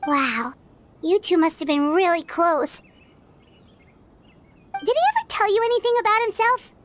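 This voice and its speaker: A woman voicing a tiny, childlike, excitable fairy companion in a video game, with a squeaky, perky and expressive delivery. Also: a little slow, slight background noise, heavily acted. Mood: curious, puzzled. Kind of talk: scripted game dialogue.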